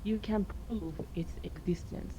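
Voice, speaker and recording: A woman from Iraq whose first language is Arabic, a student explaining ideas earnestly in halting English. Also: mid-range voice, steady tone, warm tone, a little hiss or hum, poor audio. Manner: earnest, slightly halting non-native English. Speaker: student, participant.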